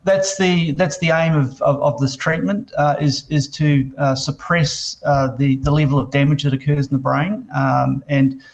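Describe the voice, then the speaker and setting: A man with a New Zealand accent, a company CEO answering interview questions, halting with many fillers and restarts. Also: a little high, steady tone, rough recording.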